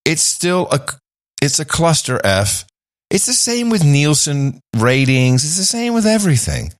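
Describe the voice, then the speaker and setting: A man hosting a podcast in casual chat, rambling and swinging his pitch up and down as he talks. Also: wildly swooping pitch, measured pace, no room sound, clean studio sound. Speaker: podcast host.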